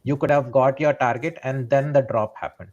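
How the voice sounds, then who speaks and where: A man's voice: mid-range voice, fairly even intonation, moderate pace, a little mellow, lo-fi sound. A man, a trader explaining his strategy step by step and often checking in with "right?". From India. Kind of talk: live trading session explanation.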